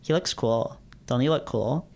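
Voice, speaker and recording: A man's voice: medium pitch, unhurried, vocal fry, darkish tone. A man reading stories aloud casually, playfully adding asides and stumbling over unfamiliar words. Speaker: video creator reading visual novels aloud. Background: music.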